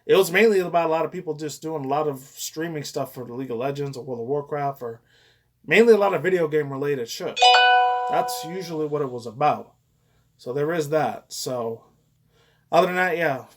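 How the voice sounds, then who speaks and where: A young man with a slightly high voice talks casually as a reaction commentator. He rambles loosely, with plenty of crude slang and heavy swearing. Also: fairly quick.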